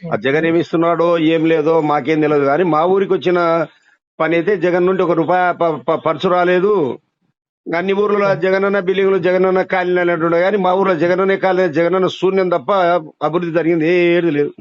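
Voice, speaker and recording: A high-pitched man with a Rayalaseema Telugu accent talks extremely fast over a muffled phone recording. His speech is rustic and emphatic, the talk of someone airing grievances in a candid conversation. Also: fairly even intonation, dry recording. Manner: emphatic, complaining, colloquial rural speech. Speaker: local party leader being surveyed by phone. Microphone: telephone. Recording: muffled lo-fi leaked phone call.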